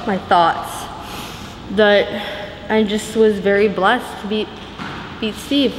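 A woman's voice: medium pitch, steady tone, takes it slowly. A young woman chatting casually, with a playful, competitive streak. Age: young adult. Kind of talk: casual chat. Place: large indoor gym basketball court. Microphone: camera microphone at a distance. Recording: distant, very echoey noisy gym hall.

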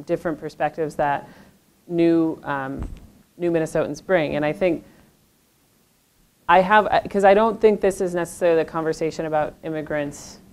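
A woman's voice: deep voice, a little hiss or hum. A woman panelist speaking earnestly in a lecture room, searching for words with frequent restarts. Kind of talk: panel discussion.

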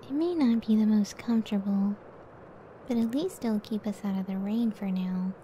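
Very mellow, tender cyclops wife, acted by a woman. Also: mid-range voice, normal speed, slight background noise, natural voice. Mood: tender, caring. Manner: soft, breathy, tender, soothing. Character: gentle, affectionate young cyclops giantess wife. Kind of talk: ASMR roleplay. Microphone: close ASMR microphone.

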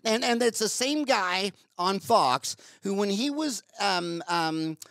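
High-voiced ranting older man host. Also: slow, no room sound, studio quality. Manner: animated, ranting, repeats words. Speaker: podcast host. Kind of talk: casual interview chat. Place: home studio with wood-panel wall. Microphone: headset mic.

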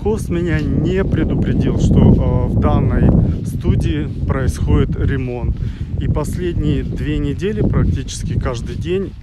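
A man around forty talking as a travel vlogger while walking outdoors, pausing mid-sentence and grumbling frankly. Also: fairly high pitch. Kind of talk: walking vlog monologue to camera. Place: outdoors on a resort town street. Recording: windy outdoor street noise, loud.

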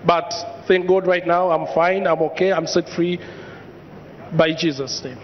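A man's voice: a little high, fairly even intonation, measured pace. Hesitant man from Tanzania testifying. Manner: hesitant, many ums. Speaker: church congregant. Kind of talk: personal testimony. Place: large church hall. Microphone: handheld mic. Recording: dull echoey hall sound.

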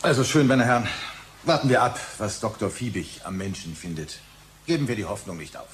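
An actor playing a pushy, jovial company boss in a radio play, with a patronizing, businesslike manner. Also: male voice, lowish voice, expressive, fairly quick, a little hiss or hum, close to an everyday voice. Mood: placating, patronizing.

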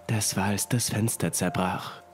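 A man with a fairly low voice narrates a horror story. His delivery is deliberate and ominous, set over music and the sound of rain. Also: fairly even intonation. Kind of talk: scripted first-person horror narration.

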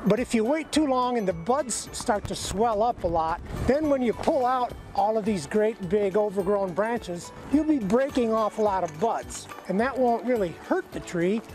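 High-pitched folksy American man teaching. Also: expressive, moderate pace, few pauses, darkish tone, soft background music, low-quality recording. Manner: folksy, plain-spoken. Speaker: extension educator, presenter. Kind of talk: instructional demonstration.

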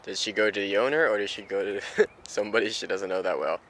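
A man's voice: lowish voice, fast, a bit bright. A young man chatting casually as a vlog co-host, picked up outdoors by a handheld camera. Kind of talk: casual chat. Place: outdoors at stables and outside. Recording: noisy outdoor camera audio, off mic.

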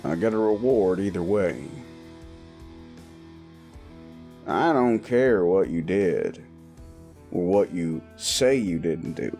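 Faint guitar music sits behind a man performing as a gruff cowboy bounty hunter in a Western audio drama. He talks slowly in a lowish voice. His manner is folksy and world-weary, threatening but wry. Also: expressive, mellow, exaggerated voice. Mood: stern, weary. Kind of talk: improvised Western audio roleplay.

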